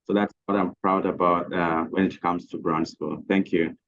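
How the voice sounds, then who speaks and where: Deep-voiced man from Uganda. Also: flat delivery, normal speed, vocal fry, a bit bright, lo-fi sound. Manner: formal, grateful. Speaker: graduate student panelist.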